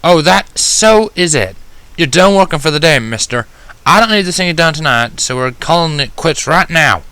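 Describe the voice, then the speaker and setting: A man's voice: a little high, animated, moderate pace, sharp tone, clean tone, no room sound, voice pushed up. A man voicing a bossy but caring female country pony in a storybook reading, firm and folksy. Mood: firm, exasperated.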